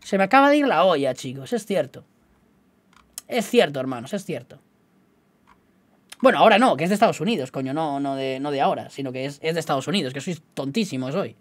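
A man's voice: fairly high pitch, animated, a little fast, dry recording. A young man with a slight Galician-tinged Spanish accent, chatting casually and rambling to his viewers as a gaming YouTuber, often poking fun at himself. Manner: chatty, rambling, self-mocking, keeps addressing the viewers.

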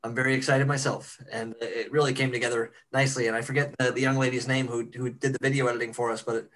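A man's voice: mid-range voice, flat delivery, fast, fairly bright tone, low-quality recording. A man talking casually as a cast member in a group video call. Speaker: cast member of an amateur radio play. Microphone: computer on a video call.

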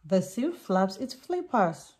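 A woman reading a picture book aloud for young children in deliberate, simple sentences. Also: medium pitch, sing-song, a little mellow.

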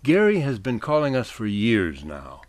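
Wry, animated man reading an essay. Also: medium pitch, moderate pace, dry recording. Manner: wry, literate storytelling. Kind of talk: scripted humorous essay narration.

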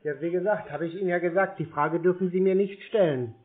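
A man with a fairly high voice spars over a thin phone line. His replies are short, dry and contrary, with a teasing edge as he refuses to play along. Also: steady tone, fast talker. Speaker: scam-baiter taking a sales call. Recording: thin narrow-band phone call sound.

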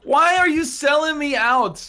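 A man's voice: very high voice, lively intonation, a little slow, sharp tone. A man bantering on a gaming voice chat, telling stories in a comically aggrieved, ranting way. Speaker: gamer on a group voice chat. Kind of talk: casual banter while playing a video game.

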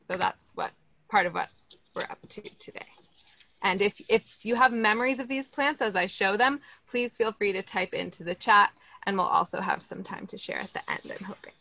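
Bright, upbeat American woman teaching. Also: mid-range voice, moderate pace, gravelly. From Los Angeles, California. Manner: upbeat, encouraging, asks the audience questions. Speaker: plant educator. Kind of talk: interactive online lesson. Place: greenhouse, indoors. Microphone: video call, laptop or webcam. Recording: slightly dull video call audio.

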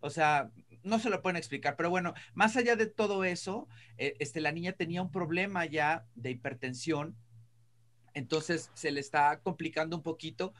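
A middle-aged man with a Mexico City accent, co-hosting a casual panel chat with colloquial, expressive phrasing. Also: a little high, moderate pace, slight background noise. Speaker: show co-host. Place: indoors, video call.

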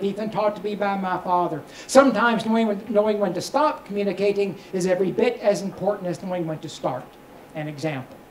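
A middle-aged male stand-up comic works a small club stage. He projects his stories out to the room on a handheld mic. The sound is echoey, with clear noise behind him. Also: sits high, darkish tone. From Kentucky, United States. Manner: storytelling delivery, projected to the room. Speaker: stand-up comedian. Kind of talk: stand-up comedy routine with crowd work. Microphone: handheld microphone.

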